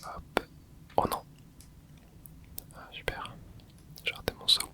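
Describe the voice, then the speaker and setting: Young male ASMR gamer, steady close whisper, laid-back play-by-play. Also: slow. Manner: soft close whisper, relaxed running reactions. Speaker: ASMR gaming channel host. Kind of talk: whispered ASMR gameplay commentary.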